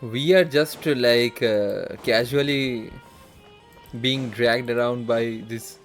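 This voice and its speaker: A streamer talks casually over a game, reacting in hesitant mutters. The pace is slow and unhurried, with faint game music behind the voice. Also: medium pitch.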